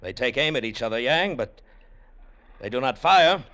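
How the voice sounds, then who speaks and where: Casting: male actor as tough, quick-witted adventure lead, medium-pitched voice, vintage radio thriller. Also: animated, a bit bright, natural voice. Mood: taunting. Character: shrewd, bold, hard-boiled adventurer hero. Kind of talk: 1940s-50s radio drama acting. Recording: vintage radio broadcast recording.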